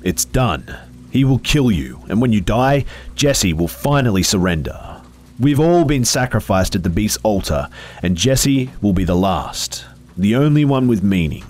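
A man voicing a faceless, vengeful static phantom with a buzzing, hissing voice and a slow, solemn, menacing delivery. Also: on the low side, very animated, a little mellow, pitched above the performer's own voice.

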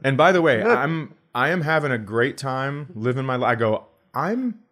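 A man's voice: medium pitch, lively intonation, normal speed, a bit bright. A middle-aged American man hosting a comedy podcast, telling casual, profane stories and acting out the dialogue. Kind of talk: casual comic storytelling.

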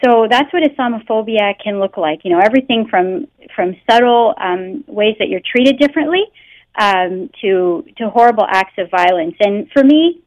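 A woman's voice: medium pitch, lively intonation, moderate pace, darkish tone, no echo. Canadian woman on the phone, earnest, lots of you knows and ums, dull dry line. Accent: Canadian English. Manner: earnest, many fillers like you know and um. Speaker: guest researcher. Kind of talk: phone interview. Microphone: telephone.

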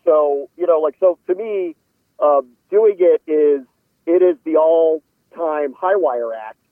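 A man's voice: a little high, slow pace, dry recording. A man speaking as a guest sports announcer in a casual radio interview, over a thin phone-like line.

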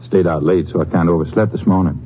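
An actor playing a folksy, evasive country feed dealer in a 1950s radio western, with a hedging, drawn-out drawl. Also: male voice, deep voice, natural voice. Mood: evasive, hesitant. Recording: tinny, noisy old radio recording, hum.

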